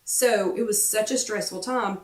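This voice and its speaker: A woman hosting a wellness workshop, chatting casually and encouragingly to viewers, repeating herself for emphasis with lots of ums, her voice getting croaky. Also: medium pitch, a little slow, recorded from a distance. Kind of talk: casual motivational chat to viewers. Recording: roomy echo, away from the mic.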